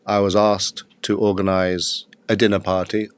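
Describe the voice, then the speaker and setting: Low-voiced Englishman in his fifties. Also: moderate pace, no echo. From England. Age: 50s. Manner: steady, matter-of-fact storytelling with occasional uhs. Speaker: guest, executive coach. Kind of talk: podcast interview.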